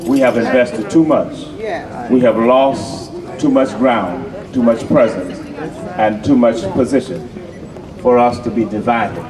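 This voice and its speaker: Man pastor, measured, rhetorical repetition, outdoor crowd, distant mic. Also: medium pitch. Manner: measured, rhetorical, repeats phrases. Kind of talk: preacherly address to a crowd.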